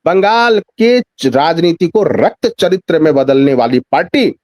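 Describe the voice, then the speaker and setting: A man's voice: on the high side, expressive, a little slow, darkish tone, dry recording. A man speaking as a journalist guest in a news discussion, giving emphatic political commentary with repeated phrases and rhetorical questions.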